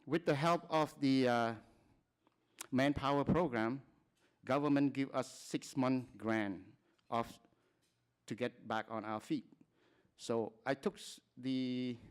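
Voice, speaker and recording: Smooth-voiced man from Cambodia, halting. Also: medium pitch. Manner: plain, halting talk with frequent restarts and short laughs. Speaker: conference speaker. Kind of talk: personal testimony at a conference.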